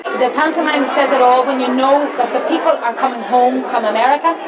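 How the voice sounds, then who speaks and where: A woman's voice: high-pitched, a little fast. A middle-aged woman talking casually in an interview as an assistant drama director. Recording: very noisy crowded large room. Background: loud crowd noise.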